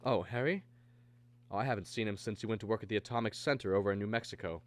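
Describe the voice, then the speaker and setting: A man's voice: fairly low pitch, fast, natural voice. An actor playing an earnest American math teacher and family man who tells his story in a 1950s radio drama style. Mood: casual, puzzled. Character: earnest abducted math teacher, husband and father, narrating.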